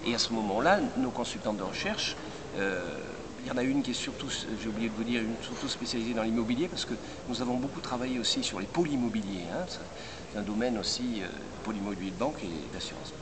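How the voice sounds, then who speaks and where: Older man, interview answers, many pauses and restarts, mid-pitched, loud noise behind. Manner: measured, many hesitations and restarts. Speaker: recruitment firm head.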